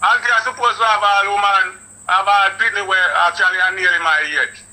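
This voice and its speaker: A man's voice: sits high, steady tone, brisk, pushed and bright. A man in his fifties with a Jamaican accent on a phone call, indignant and swearing, brushing off the caller. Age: 50s. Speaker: prank call target. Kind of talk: phone conversation.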